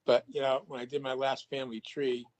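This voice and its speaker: A man talks over a video call in a relaxed, loose way. He circles back on his own words, restarts sentences and repeats phrases as he talks about paranormal work. His voice sits at an ordinary middle pitch. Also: a little fast. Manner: rambling, repeats himself with many restarts. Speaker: paranormal investigator. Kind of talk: casual video-call chat.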